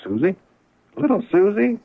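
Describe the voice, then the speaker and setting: An actor playing a middle-aged ex-husband in a radio drama, desperate and pleading, stammering with emotion. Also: male voice, sits high, big pitch swings, normal speed, gravelly, slightly rough, no echo, full character voice. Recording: tinny, band-limited radio drama recording.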